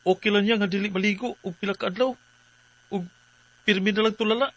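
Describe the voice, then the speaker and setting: A man's voice: high-pitched, steady tone, fast, fairly bright tone, rough recording. A man acting a worried, weary husband in a radio drama, earnest and troubled. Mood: fretful. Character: worried, weary working husband pleading for his wife.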